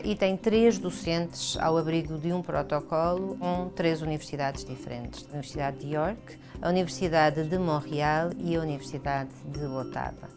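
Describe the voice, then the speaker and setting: A woman with a European Portuguese accent answers in an interview, in a measured, hesitant way. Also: sits low. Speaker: language institute coordinator being interviewed. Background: background music.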